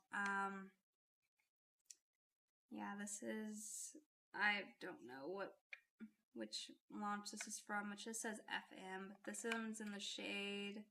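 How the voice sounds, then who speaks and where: A woman's voice: mid-range voice, vocal fry, slight background noise. A woman hosting a casual makeup vlog, chatty and friendly, her voice still a little off from a recent illness. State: recovering from illness, voice off. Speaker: beauty YouTuber.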